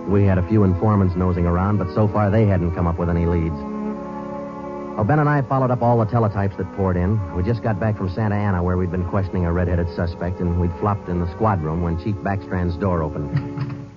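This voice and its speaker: An actor playing a weary, businesslike American police detective in an old radio drama, narrating in a clipped, deadpan, matter-of-fact way. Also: male voice, sits low, brisk, natural voice. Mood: weary, dry. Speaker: lead actor and first-person narrator. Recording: muffled old radio recording with music.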